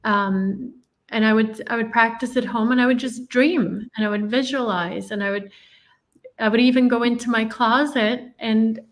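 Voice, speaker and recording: Woman, interview guest, reflective storytelling, slightly high voice. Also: fairly even intonation, moderate pace. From Northern Ireland. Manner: earnest, reflective storytelling with many restarts. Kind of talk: personal life story in a podcast interview.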